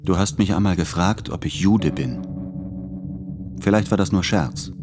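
An actor playing an earnest, insecure man in love in a radio play, speaking carefully and haltingly. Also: deep voice. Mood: uneasy. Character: earnest, insecure lover pleading with his beloved. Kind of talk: intimate German radio play dialogue.

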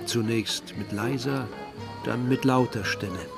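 An actor as a reflective narrator, giving measured literary narration in a radio drama. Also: male voice, mid-range voice, gravelly. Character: reflective male narrator recalling village and brother. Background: music.

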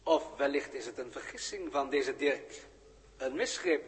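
A man's voice: mid-range voice, lightly acted. Man as solemn alien in long reverb. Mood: solemn, respectful. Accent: Netherlands Dutch. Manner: measured, formal, solemn. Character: deferential, precise alien colleague and former pupil. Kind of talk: 1960s Dutch radio drama acting. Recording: old radio recording with long reverb.

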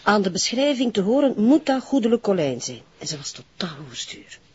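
A woman with a Flemish accent and a husky, breathy voice playing an eager, cheeky police colleague in naturalistic TV drama dialogue. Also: mid-range voice, sing-song, brisk. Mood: urgent. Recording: dull lo-fi TV soundtrack.

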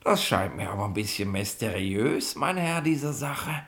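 An actor playing a gruff, officious police inspector in a 1960s radio play, pedantic and sarcastic in colloquial bureaucratic bluster. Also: male voice, fairly low pitch, expressive, unhurried, fairly bright tone. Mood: suspicious, deliberate.

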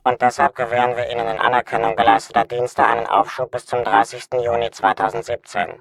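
An actor playing a bland, officious government official in a radio play, formal and smoothly patronising. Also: male voice, medium pitch, monotone, fast talker, fairly bright tone, close to an everyday voice. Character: bland, officious male licensing-office official delivering notices.

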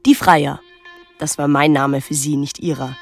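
Casting: pensive, detached teenage girl, introspective radio drama narrator, measured delivery, music underneath. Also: deep voice, steady tone, creaky. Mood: detached, wry. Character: pensive, detached teenage daughter of a reclusive painter. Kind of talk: literary first-person radio drama narration.

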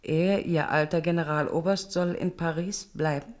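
An actress playing a haughty, resentful queen in a German radio play, sharp-tongued, cold and challenging. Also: fairly low pitch, fairly even intonation, moderate pace, natural voice. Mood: challenging, indignant.

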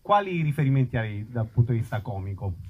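A man with a medium-pitched voice puts casual questions to a guest as an interviewer. The live recording is dull and muffled. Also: very animated, quick pace, a little hiss or hum.

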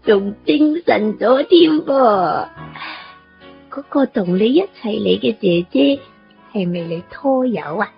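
A woman's voice: medium pitch, lively intonation, takes it slowly, vocal fry. A woman playing a frail, childlike, perceptive ninety-four-year-old granny in a Hong Kong Cantonese radio drama. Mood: playful, knowing. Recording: muffled, music underneath.

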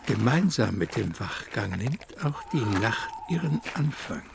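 Creaky, animated male narrator. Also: on the low side, measured pace, background noise. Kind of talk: dramatic scripted radio play narration.

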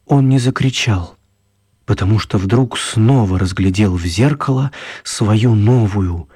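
A man narrating a children's radio play as an expressive storyteller. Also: fairly low pitch, measured pace, slightly rough. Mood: storytelling.

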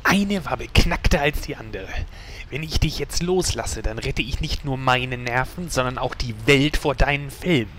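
A man playing a grumpy, exasperated boss with a menacing deadpan, in a German comedy audio drama. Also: medium pitch, moderate pace, a little hiss or hum, lightly acted. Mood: exasperated, threatening.

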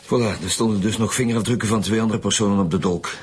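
A man's voice: on the low side, fast, natural voice. An actor playing a dry, probing male police detective in a radio drama, speaking matter-of-factly. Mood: brisk, informal. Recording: faint music behind.

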